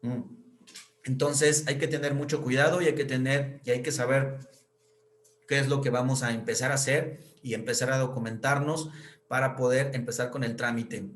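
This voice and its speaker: A man's voice: mid-range voice, flat delivery, moderate pace. Mexican man explaining in an echoey room. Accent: Mexican Spanish. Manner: explanatory, many fillers and tag questions. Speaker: accountant explaining pension reform. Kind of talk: explanatory lecture.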